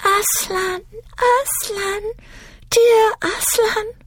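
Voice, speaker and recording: A woman voicing an eager, loving young girl who talks high and breathlessly, often pleading. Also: sing-song, a little slow, a little mellow, no room sound, heavily acted.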